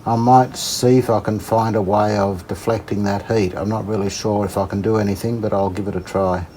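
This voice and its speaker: A man giving casual hands-on repair commentary, unhurried and tentative, as if thinking aloud. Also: on the low side, steady tone, warm tone, a little hiss or hum. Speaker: host of a radio repair channel.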